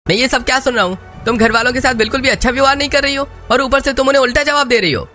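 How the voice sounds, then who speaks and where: Young husband in a family cartoon played by a male narrator, raised pitch, emotional swings, music underneath. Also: rapid-fire, bright, forceful tone. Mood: indignant, scolding. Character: Rohan, angry young husband scolding his wife. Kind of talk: Hindi moral-story cartoon dubbing. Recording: music bed behind the voice.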